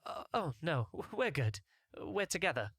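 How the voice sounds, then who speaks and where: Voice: mid-range voice, sing-song, measured pace, a bit bright, husky, no room sound, studio quality, exaggerated voice. A narrator voicing an earnest, impulsive young male novice healer in a fantasy game story. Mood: awkward, earnest.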